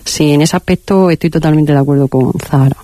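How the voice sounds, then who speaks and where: A woman from Andalusia, a researcher answering interview questions in a measured, hesitant way and repeating words as she thinks. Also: low voice, steady tone, dark tone, no room sound. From Andalusia, Spain. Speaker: researcher guest.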